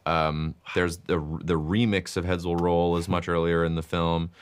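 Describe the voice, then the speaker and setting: A young man with a very deep voice talks loudly in a relaxed interview. He talks in quick, animated bursts and leans hard on filler words. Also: clean tone. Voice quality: loud voice. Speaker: guest musician and composer. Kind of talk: casual interview chat.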